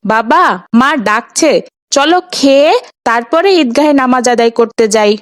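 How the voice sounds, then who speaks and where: A woman plays a kind, devout little boy in a children's cartoon. The voice sits fairly high and is recorded dry and close. He speaks earnestly, with a tender heart. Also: lively intonation, unhurried, no room sound. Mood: earnest, curious. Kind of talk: Islamic children's cartoon dialogue.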